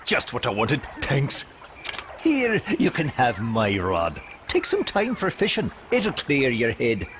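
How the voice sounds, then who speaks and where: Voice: medium pitch, wildly swooping pitch, slow. An actor voicing a laid-back, easygoing angler in a video game, with a lazy, hesitant delivery. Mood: pleased, relaxed. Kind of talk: video game cutscene acting.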